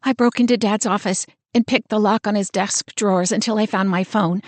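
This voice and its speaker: A woman's voice: mid-range voice, steady tone, fairly quick, no echo, close to an everyday voice. A woman voicing a frightened young woman who talks in a shaky voice close to tears. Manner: shaky, close to sobbing, then bitter. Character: frightened, tearful young woman, a protective older sister.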